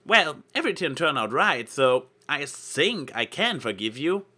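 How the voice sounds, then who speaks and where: A man's voice: on the high side, very animated, a little slow, sharp tone, pitched above the performer's own voice, heavily acted. A man voicing a brash, boastful young female flying pony in a story reading. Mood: proud. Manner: cocky, teasing.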